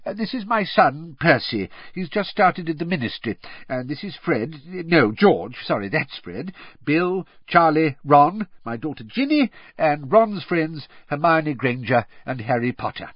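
A man voicing a mild, kindly middle-aged wizard father in a storybook, gentle and tentative. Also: sits high, wildly swooping pitch, unhurried, husky, no room sound, dull audio, voice pushed up, exaggerated voice. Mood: polite introductions.

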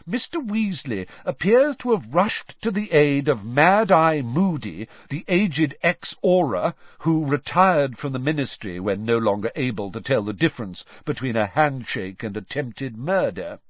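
A man's voice: medium pitch, wildly swooping pitch, slow, few pauses, dry recording, pitched above the performer's own voice, heavily acted. A man doing a sneering schoolboy bully. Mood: mock-reading aloud. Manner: loud, crowing, mocking. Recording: dry, slightly dull studio recording.

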